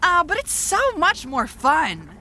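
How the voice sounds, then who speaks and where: Actress doing mischievous witch, super high bright voice, snarky and teasing, audio drama. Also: huge pitch swings, unhurried, a little hiss or hum, heavily acted. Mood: mocking, gleeful. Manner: sarcastic, teasing. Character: mocking, mischievous young female witch who teases. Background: music.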